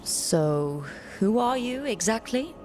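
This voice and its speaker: An actress playing an earnest, plain-spoken adventurer in a video game, direct and questioning. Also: female voice, fairly high pitch, big pitch swings, takes it slowly, mellow, soft background music. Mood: curious, direct. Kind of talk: video game cutscene acting.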